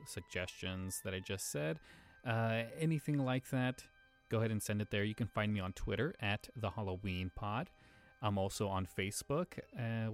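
A man hosting a podcast, talking casually and informally to his listeners. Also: fairly low pitch. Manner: informal, chatty. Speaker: podcast host. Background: music.

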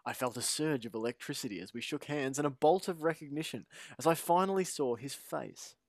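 A man's voice: mid-range voice, fairly quick, dry recording. Man co-hosting a podcast. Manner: playful, laughs often. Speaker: podcast co-host. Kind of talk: podcast chat and reading aloud.

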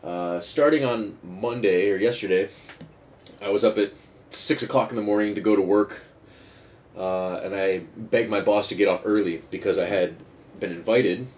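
A man's voice: lowish voice, moderate pace, a little mellow. A man hosting a casual, rambling solo podcast, talking in a digressive, sweary and self-mocking way. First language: not Dutch, speaks English fluently. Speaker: podcast host. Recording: dull lo-fi sound with faint noise.

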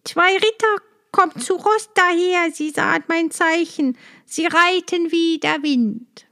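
A woman's voice: slow, gravelly, bright, forceful tone, exaggerated voice. A woman voicing an anxious young sister. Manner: high, despairing replies.

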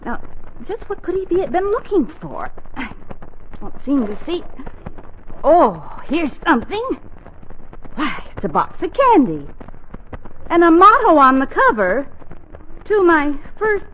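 An actress playing a suspicious, inquisitive middle-aged wife in a 1940s radio comedy, probing and dramatic, on a muffled, hissy old broadcast. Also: female voice, high voice, wildly swooping pitch, unhurried, pauses often, full character voice. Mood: suspicious, dismayed.

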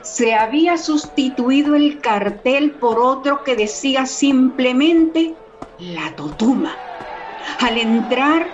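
A woman's voice: high voice, lively intonation, slow pace. A woman narrating a scripted radio drama. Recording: muffled sound with loud music. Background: loud music.

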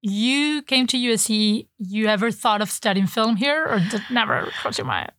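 A woman hosting an interview podcast, reading a scripted intro and asking questions in a measured way. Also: a little high, lively intonation, fairly bright tone, no room sound, studio quality. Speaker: podcast host.

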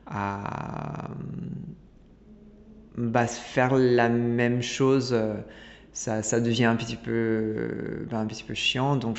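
A man talks in a low, gravelly voice. He restarts his sentences, and he stalls on fillers. The recording sounds dull and lo-fi, with a long reverb trailing behind him. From Italy. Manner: hesitant, many restarts and fillers. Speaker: guest designer. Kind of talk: casual interview talk.

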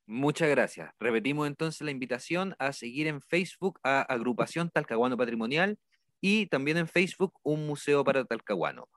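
A male radio host addresses his listeners. His voice is at a middle pitch, recorded close and dry. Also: lively intonation, moderate pace, fairly bright tone, no room sound. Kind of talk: presenting to listeners.

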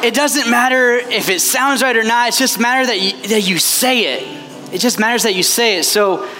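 A man's voice: very high voice, moderate pace, over music. A man preaching as a priest to a retreat crowd, impassioned and chatty, with many fillers. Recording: long hall reverb, faint background noise.

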